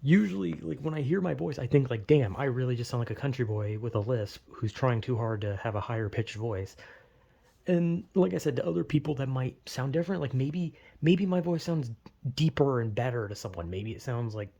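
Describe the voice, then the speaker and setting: A man's voice: medium pitch, a little fast, creaky, mellow, lo-fi sound. A young man vlogging in a rambling, self-deprecating way, full of "like" fillers, with a slight lisp. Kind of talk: casual rambling monologue.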